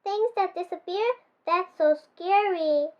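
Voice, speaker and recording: A woman's voice: very high-pitched, takes it slowly, dull audio. A ten-year-old girl voicing a timid, easily frightened male toy penguin in a storybook, shivering and wailing.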